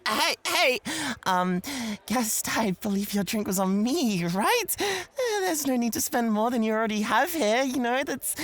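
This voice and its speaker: A woman playing a possessive, coy club host in an ASMR roleplay, teasing and easily flustered, with theatrical phrasing. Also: high voice.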